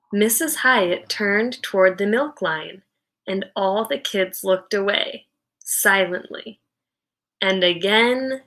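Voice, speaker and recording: A woman teacher giving an expressive, deliberate read-aloud of a children's novel with dramatic storytelling. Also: mid-range voice.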